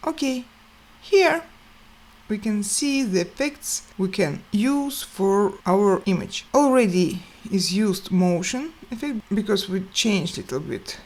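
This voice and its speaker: A woman hosting a step-by-step software tutorial, speaking in short, halting phrases with pauses. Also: medium pitch. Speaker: tutorial host.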